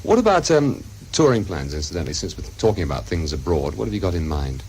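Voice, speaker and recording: A man's voice: low voice, sing-song, fast, a little mellow, slight background noise. A man hosting a radio interview in a studio, chatty and affable, steering the guest with easy questions. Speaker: radio host.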